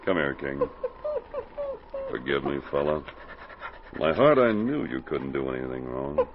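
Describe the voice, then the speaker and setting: An actor playing a brave, kindly but firm Mountie sergeant in a 1940s radio adventure. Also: male voice, extremely low pitch, very animated, a little slow, exaggerated voice. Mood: tender, contrite. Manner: commanding, reassuring. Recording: muffled, noisy 1940s radio recording.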